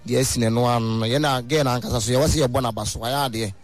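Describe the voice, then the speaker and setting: A man's voice: medium pitch, fairly even intonation, very fast, music in the background. A man with a Ghanaian accent giving an earnest comment in a street interview. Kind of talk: vox pop comment.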